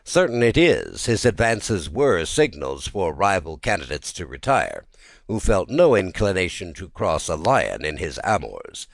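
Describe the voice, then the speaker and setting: Low-voiced, animated male storyteller. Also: unhurried, creaky, dry recording, studio quality. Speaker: narrator reading a classic short story. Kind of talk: dramatic literary audiobook narration.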